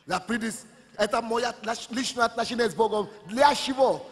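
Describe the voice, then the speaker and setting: A man's voice: extremely high pitch, moderate pace, fairly bright tone. A male pastor preaching a sermon with impassioned, emphatic declarations. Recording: long church hall reverb with music.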